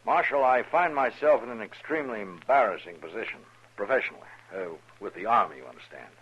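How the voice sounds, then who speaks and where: A man acting a courteous, proper army major in a radio western, husky and politely hesitant. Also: lowish voice, lively intonation, fast. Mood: embarrassed. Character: formal, courteous army major, awkwardly humbled. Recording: dull old radio recording.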